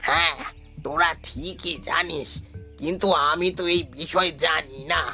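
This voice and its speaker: Sharp, bright-voiced old grandfather played by a man. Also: on the high side, big pitch swings, a little slow, creaky, faint music behind, full character voice. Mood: knowing. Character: old village grandfather, knowing and concerned. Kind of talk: Bengali horror cartoon acting.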